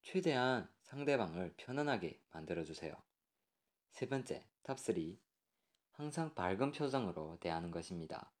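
A man's voice: lowish voice, big pitch swings, normal speed. A man hosting a show, reading listener stories and counting off dating tips in a friendly, instructive way. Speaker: radio-style show host. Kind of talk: casual chat and advice segment.

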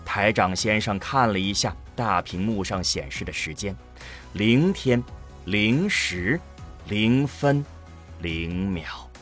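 A man's voice: lowish voice, animated, slow pace, fairly bright tone, faint music behind. A man narrating a scripted science fiction audio drama in a dramatic storytelling manner.

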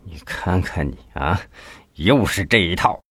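A man playing a weary old official, low and slow. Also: expressive, fairly bright tone, breathy edge, no echo, studio quality, full character voice. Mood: weary scolding. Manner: slow, heavy, sighing. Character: aging male party official, weary, scolding uncle.

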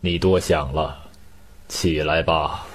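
A man's voice: very deep voice, fairly even intonation, slow pace, background noise. A man voicing a stern, weary middle-aged emperor with an imperious manner in a Chinese costume radio drama. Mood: weary authority. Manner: solemn, imperious. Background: background music.

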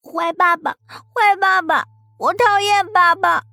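High, sulky little girl. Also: steady tone, vocal fry, pushed and bright, no echo, clean studio sound, soft background music, full character voice. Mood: sulky, defiant. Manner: muttering, whiny, drawn-out. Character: small tearful girl, stubborn and sulky toddler. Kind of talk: audiobook character acting.

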